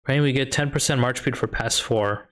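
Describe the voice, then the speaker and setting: A young American man with a light Chicago accent streams a strategy game, talking in a relaxed, mid-pitched voice. He muses half to himself and reads out viewer questions as they come in. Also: moderate pace. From United States. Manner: thinks aloud, reads and answers chat questions. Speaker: streamer and high school math teacher. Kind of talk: casual live-stream chat while gaming.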